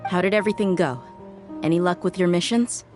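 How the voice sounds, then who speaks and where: Easygoing, wry young woman strategist for a game, actress, lowish register, faint music underneath. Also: brisk, close to an everyday voice. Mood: reporting. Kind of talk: video game character acting.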